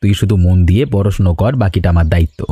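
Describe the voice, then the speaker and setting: A man voicing an earnest, protective big brother who works hard at a garage, in an animated story. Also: deep voice, fast talker, breathy edge, no echo. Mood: tender, protective. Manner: earnest, familiar.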